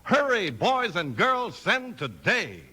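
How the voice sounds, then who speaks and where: A man with an American accent, an enthusiastic, emphatic 1950s radio announcer with a husky edge. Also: sits high, wildly swooping pitch, slow pace, very noisy. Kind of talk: 1950s radio advertising and narration.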